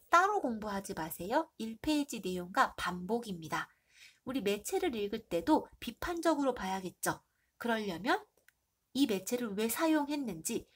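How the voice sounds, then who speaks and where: A woman teacher with a stuffy nose and a hoarse throat, reviewing for an exam and asking the class questions she then answers herself. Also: fairly high pitch. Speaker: middle school Korean teacher.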